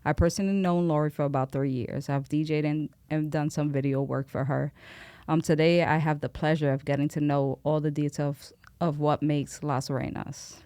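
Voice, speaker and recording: Low-voiced woman hosting a podcast, dry sound. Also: steady tone, moderate pace, mellow, smooth, no echo. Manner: friendly, short encouraging replies. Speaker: podcast host, DJ. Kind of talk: casual interview hosting.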